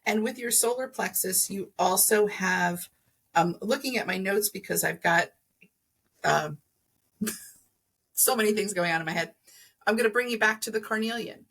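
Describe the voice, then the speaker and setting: A woman with a slightly husky voice at a middling pitch talks as a guest expert on a podcast. She explains in a conversational way, pausing and rewording as she thinks aloud. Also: fairly bright tone. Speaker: podcast guest, energy healer. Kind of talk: conversational explaining of crystals and chakras.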